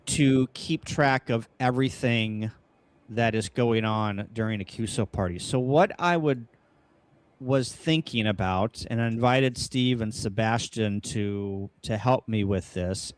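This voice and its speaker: An American man hosting a casual livestream, talking through ideas in halting, short phrases. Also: medium pitch, dry recording. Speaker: host of a ham radio livestream. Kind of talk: casual livestream brainstorming talk. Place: upstairs room at home, kitchen table.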